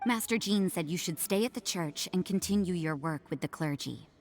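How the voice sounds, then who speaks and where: Game role: aloof young nun, lowish voice, curt deadpan delivery, faint music bed. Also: female voice, moderate pace. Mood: cool, detached. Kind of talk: anime-style video game voice acting. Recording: music in the background.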